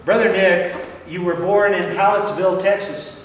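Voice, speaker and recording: A man hosting an onstage interview, speaking earnestly and haltingly with many fillers. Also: sits high, steady tone, slight background noise. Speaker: interviewer, event host. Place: large hall. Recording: echoey hall from far off.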